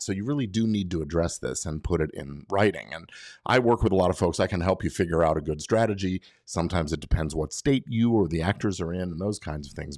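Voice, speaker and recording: A man's voice: low-pitched, a little mellow, no room sound, studio quality. An American man hosting an explanatory solo podcast, giving professional advice in an emphatic, conversational way with rhetorical questions.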